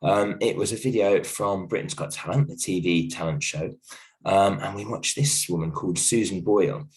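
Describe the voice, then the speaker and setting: A male teacher with a croaky voice from illness, giving a gentle, reassuring video lesson from home with many ums and restarts. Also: deep voice, moderate pace, low-quality recording. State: croaky voice from a cold, coughing. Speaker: primary school teacher. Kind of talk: recorded video lesson for a class.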